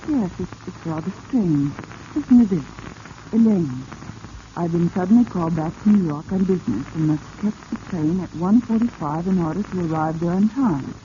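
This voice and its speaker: Muffled vintage radio sound with some noise carries an unsuspecting, well-mannered wife played by an actress. Her voice is mellow and rounded, in 1940s radio drama style. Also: on the low side, expressive, normal speed, natural voice. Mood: earnest, puzzled.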